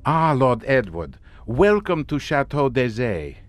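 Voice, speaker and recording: An actor playing a courtly, grandiose and mockingly amused Hungarian count in a suspense audio drama. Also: male voice, mid-range voice, very animated, a little slow, soft background music. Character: sardonic, theatrical Hungarian count, host of a chateau.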